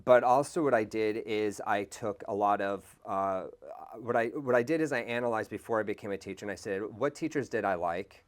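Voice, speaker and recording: Low-voiced man, easygoing and rambling. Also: a little fast. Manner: relaxed, rambling, frequent restarts and laughs. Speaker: English teacher. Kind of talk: casual interview.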